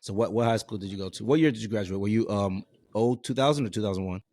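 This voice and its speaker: A man in his forties, a physician hosting a casual interview, who talks in a relaxed, chatty way and often repeats his words. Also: on the low side, fast talker. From Houston area, Texas. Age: 40s. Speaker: podcast host, physician.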